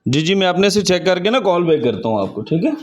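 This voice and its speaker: A man's voice: sits high, big pitch swings, fast. A man talking on a phone call in a polite, deferential manner, often repeating himself. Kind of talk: phone conversation. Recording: clearly noisy field recording.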